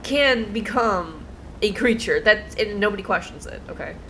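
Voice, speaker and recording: A woman's voice: mid-range voice, animated, normal speed, sharp tone, a little hiss or hum, rough recording. A woman game streamer giving casual, playful, slangy commentary and reacting as she plays. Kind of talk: casual gameplay commentary, reading dialogue aloud.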